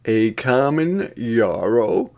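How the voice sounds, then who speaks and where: A man with a mid-pitched voice reads a children's book aloud as a teacher would. His delivery is slow and deliberate, with a sing-song lilt for counting and repeated words. The style is an interactive read-aloud aimed at young children. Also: very animated, gravelly, a little mellow.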